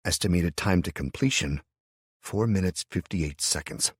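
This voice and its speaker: Man reading as a flat machine system. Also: sits low, normal speed, breathy edge, dry recording, studio quality. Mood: monotone.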